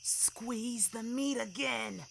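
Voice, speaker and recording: A man's voice: very high-pitched, drawn-out words, vocal fry, fairly bright tone, slight background noise, voice pushed up, full character voice. A man voicing a panicky, sarcastic protagonist who speaks in urgent asides in a fiction podcast.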